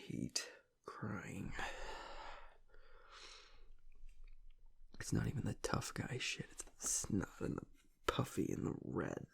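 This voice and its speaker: A man playing a gruff young werewolf pack alpha in an intimate audio roleplay, halting and tearful, his voice breathy and gravelly as he grieves and doubts himself. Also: full character voice. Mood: tearful, tender. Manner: halting, low-voiced, choked with emotion. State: tearful, on the edge of crying.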